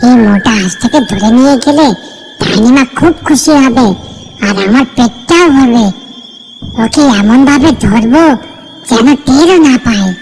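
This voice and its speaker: A woman as a creaky, high-pitched greedy hyena. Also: animated, unhurried, darkish tone, a little hiss or hum. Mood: gleeful scheming. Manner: scheming, grumbling. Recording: long hall reverb, faint music.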